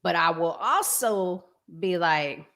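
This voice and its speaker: Slow-talking woman host with a lowish voice. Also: animated, a bit bright. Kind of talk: casual discussion.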